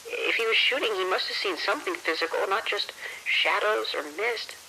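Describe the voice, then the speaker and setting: Guy doing a nervous security officer, tense creaky voice, stumbling over words, horror audio drama, faint rainy hiss. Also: sits high, sharp tone, exaggerated voice, rough recording. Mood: rattled. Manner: nervous, halting, stammering. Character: frightened, jittery male security officer. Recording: radio ambience with rain-like noise.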